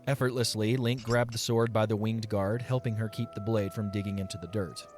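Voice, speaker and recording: Lowish-voiced male story narrator. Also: steady tone, brisk. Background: soft music.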